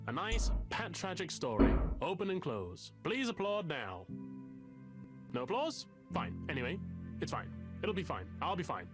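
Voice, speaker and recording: Self-hating dramatic young academic in a visual novel, bitter and wordy, music behind him. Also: male voice, fairly high pitch. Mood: bitterly sarcastic. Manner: theatrical, wordy, self-mocking. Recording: music under the voice.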